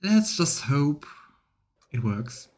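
A man's voice: on the high side, sing-song, very slow, a little mellow. A man in his thirties playing a game on stream, commenting and reading its dialogue aloud.

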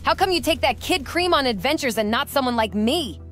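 A performer voicing an eager, foolish young animal fan in a comic dub, excitable and naive. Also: high voice, fairly quick, music in the background. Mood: starstruck.

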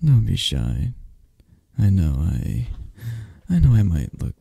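A man playing a possessive, flirtatious, intimidating mafia boss in an ASMR roleplay, husky and murmuring, with drawn-out teasing pauses. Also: low-pitched, wildly swooping pitch, dark tone, dry recording, full character voice. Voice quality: husky, gravelly, at times breathy.

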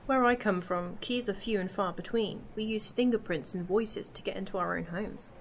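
Some noise sits behind a woman acting a young adventurer from the future in an amateur audio drama. She is cheeky, chatty and full of questions, with a medium pitch. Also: normal speed, lightly acted. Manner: inquisitive, chatty. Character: curious, cheeky young female companion from the future. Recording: amateur recording with clear background noise.

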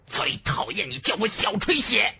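A man's voice: high-pitched, very animated, normal speed, creaky, sharp tone, breathy edge, soft background music, voice pushed up, exaggerated voice. Anxious cold swordsman, played by a male narrator, emphatic, urgent, raised voice, audiobook fantasy. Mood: heated. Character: cold, anxious rival swordsman, quick to alarm.

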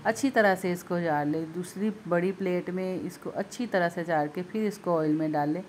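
A woman's voice: lowish voice, normal speed, a little hiss or hum. A woman demonstrating a recipe step by step in her home kitchen, speaking patiently to viewers and repeating her instructions. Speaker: home cooking video host.

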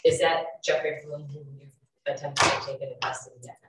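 A woman's voice: sits low, steady tone, fairly quick, very noisy. A woman questioning in court as an attorney, polite and somewhat hesitant. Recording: echoey courtroom, distant microphone.

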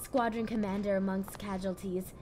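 A woman plays an urgent, dutiful fantasy navy officer. She reports in clipped military phrases at a medium pitch, with faint music underneath. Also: steady tone, moderate pace, mellow, natural voice. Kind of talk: fan-made fantasy game drama acting.

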